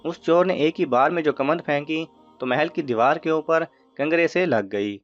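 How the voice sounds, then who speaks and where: A man narrating a scripted moral story for children, as a storyteller. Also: fairly high pitch. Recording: muffled audio with music underneath. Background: music.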